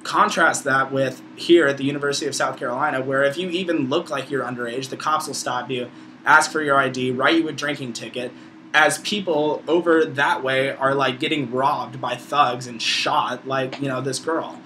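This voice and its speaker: Bright-voiced young American man vlogging, noisy. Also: medium pitch, fairly even intonation, moderate pace. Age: around 20. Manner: slangy, profane, informal. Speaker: video blogger, college student. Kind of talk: casual opinionated vlog monologue.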